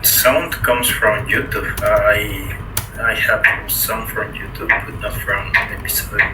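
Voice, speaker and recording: A man with a fairly low voice chats casually on a video call. He sounds roomy and distant from the mic, with clear noise behind him. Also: steady tone, slow. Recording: echoey, distant, noisy video-call audio.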